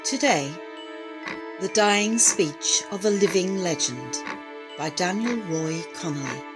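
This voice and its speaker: A woman's voice: on the low side, animated, takes it slowly. A woman announcer reading a scripted introduction to an audio drama. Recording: loud music under the voice. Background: theme music.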